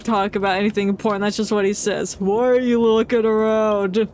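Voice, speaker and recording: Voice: medium pitch, flat delivery, brisk, darkish tone, slight background noise. A game streamer giving playful, theatrical live commentary and joking along with the dialogue.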